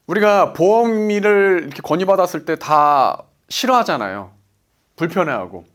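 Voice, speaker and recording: A man in his forties, an insurance sales director, giving a motivational business talk, stressing points and asking himself "why?" before he answers. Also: fairly high pitch. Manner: emphatic, asks himself "why?" then answers.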